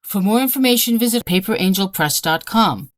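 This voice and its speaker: A woman's voice: mid-range voice, animated, a little fast, no echo. A woman reading scripted promotional narration as an announcer, close on the mic. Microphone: close dry studio voice-over.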